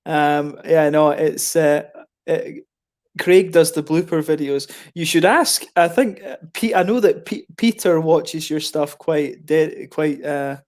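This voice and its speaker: Halting, laughing man hosting a stream. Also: on the high side, a little mellow. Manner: halting, many restarts, laughs often. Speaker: stream host. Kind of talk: casual chat on a stream.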